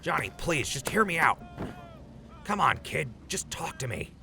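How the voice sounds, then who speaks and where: A man's voice: fairly high pitch, sing-song, a little slow, gravelly, over music, heavily acted. An actor playing an earnest, apologetic male radio DJ in a post-apocalyptic audio drama. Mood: pleading.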